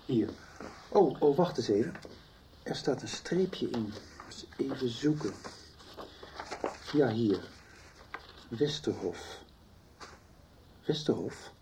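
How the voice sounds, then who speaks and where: An actor playing a calm, mumbling Dutch husband in a radio soap. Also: male voice, mid-range voice, slow, pauses often, slight background noise.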